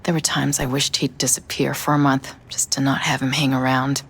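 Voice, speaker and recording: An actress playing a worn-down, bitter widowed mother of three in a video game, her voice low and husky. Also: flat delivery, fairly quick, full character voice. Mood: bitter, grieving.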